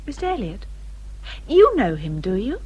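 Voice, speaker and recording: A reserved, earnest young gentlewoman from a Regency radio play. Her English voice sits at a middle pitch and is a little husky. She speaks formally and politely, with a hesitant care. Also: huge pitch swings, moderate pace. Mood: shy, earnest. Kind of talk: period radio drama acting.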